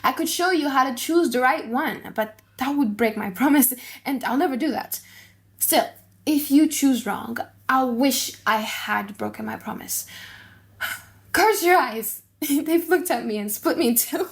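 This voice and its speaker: A young heiress in love performed by a woman reader, with a fairly high voice. She is anxious and playful, halting and sighing through tender speeches in a dramatised classic play. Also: expressive. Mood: flustered, lovestruck.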